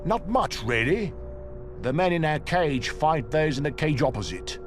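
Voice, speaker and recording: An actor playing a cynical, resigned prisoner in a video game, wry and matter-of-fact. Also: male voice, on the high side, lively intonation, measured pace, music in the background. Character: cynical, resigned seasoned male prisoner in a cage. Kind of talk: dramatic video game acting.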